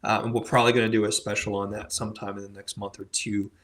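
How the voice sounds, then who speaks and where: A man hosting a crypto panel, slightly hoarse and speaking in a conversational, steering way. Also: on the low side, flat delivery, fast talker, a bit bright. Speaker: host. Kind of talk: panel discussion.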